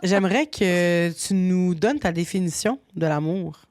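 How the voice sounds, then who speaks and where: A woman hosting a podcast, asking questions in a casual, encouraging way and quickly agreeing. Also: fairly low pitch, a little mellow, no echo, studio quality. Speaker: podcast host.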